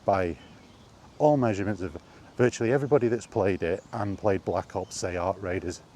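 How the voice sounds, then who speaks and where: A man with a fairly low voice speaks off the cuff, putting deliberate weight on his points. He repeats phrases and poses rhetorical questions as he argues his opinion. Noticeable ambient noise sits behind him. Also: a little mellow. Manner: emphatic, deliberate delivery with rhetorical questions and repetition. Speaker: video host sharing opinions on gaming. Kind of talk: unscripted opinion monologue while walking.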